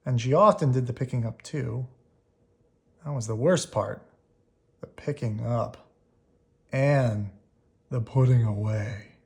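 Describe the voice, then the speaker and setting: A storybook reader giving an expressive children's read-aloud, leaning on key words with drawn-out emphasis. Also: mid-range voice, vocal fry.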